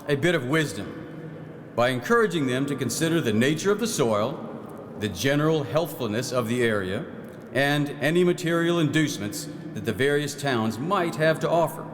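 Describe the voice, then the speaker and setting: Guy acting as a folksy 1900s statesman telling stories onstage, slightly high voice, big echoey room. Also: close to an everyday voice. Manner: folksy, plain-spoken storytelling. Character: folksy, proud 1909 Arkansas farm-minded legislator reminiscing. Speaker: actor in historical re-enactment. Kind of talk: theatrical first-person historical monologue. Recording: echoey hall, clear background noise.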